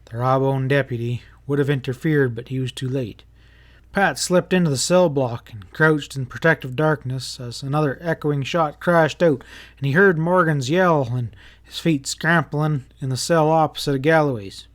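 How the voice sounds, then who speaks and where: Mid-pitched man narrating a western. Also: moderate pace. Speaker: audiobook reader. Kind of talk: dramatic narration of a western novel.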